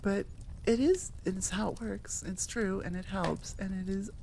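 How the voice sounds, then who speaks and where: Mellow-voiced woman chatting casually. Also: mid-range voice, measured pace, creaky, slight background noise. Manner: rambling, candid, self-deprecating. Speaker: YouTube audio roleplay creator. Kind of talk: casual, unscripted chat to listeners.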